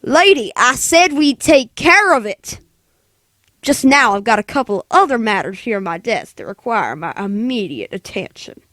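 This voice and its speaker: A woman voicing a gruff, dismissive, weary city police sergeant in a 1940s radio play, with brusque, patronizing delivery. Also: a little high, big pitch swings, normal speed, fairly bright tone, dry recording. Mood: impatient.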